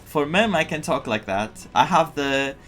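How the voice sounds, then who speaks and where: A man giving casual, excitable playthrough commentary as a game streamer, rambling and searching for words. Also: a little high, measured pace, faint music behind. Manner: rambling, excitable, stumbles over names.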